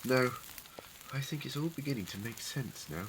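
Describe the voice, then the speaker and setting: A man's voice: mid-range voice, animated, normal speed, slight background noise, natural voice, low-quality recording. A man acting in a fan audio drama as an eccentric, clever Time Lord adventurer, musing, wordy and wryly witty. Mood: probing.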